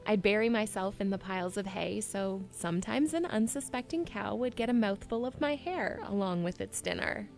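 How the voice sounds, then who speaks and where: A woman's voice: mid-range voice, animated, normal speed, creaky, few pauses, faint music behind. A woman playing a plucky, awkward young princess in an audio drama, talking in a casual, blunt way. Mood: brisk, reassuring.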